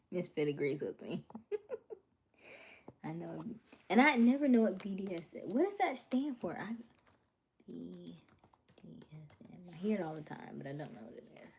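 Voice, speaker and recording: A woman chatting casually with her viewers on a livestream, drifting into soft whispers and laughing often. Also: fairly low pitch, sing-song, normal speed, creaky, pauses often, breathy edge. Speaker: livestream host.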